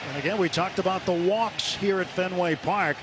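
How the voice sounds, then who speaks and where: A man does live baseball play-by-play for radio in a fairly high male voice. He describes the action as it unfolds at the ballpark, with loud stadium noise behind him. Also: moderate pace. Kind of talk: live radio sports commentary. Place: stadium broadcast booth. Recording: noisy outdoor ballpark broadcast sound. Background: loud ballpark ambience.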